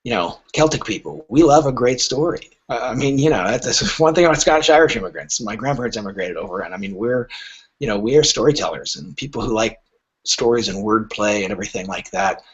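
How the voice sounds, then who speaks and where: A man's voice: mid-range voice, lively intonation, fairly quick, breathy edge, lo-fi sound. A middle-aged man speaking conversationally as an interview guest, wry and joking, with anecdotal answers. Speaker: literary agent, podcast guest.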